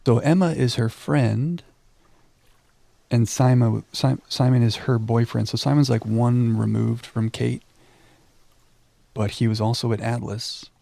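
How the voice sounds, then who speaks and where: A man's voice: medium pitch, fairly even intonation, a little slow, vocal fry, long pauses, warm tone, no echo. A man hosting a game livestream, chatting casually and self-deprecatingly with viewers and reading on-screen text aloud into a close microphone.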